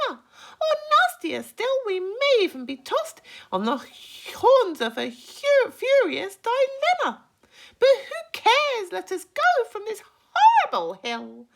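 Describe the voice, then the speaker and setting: Boisterous male centipede for a children's tale, performed by a woman: high, very animated, shouty, relishing every rhyme. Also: heavily acted. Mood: rousing.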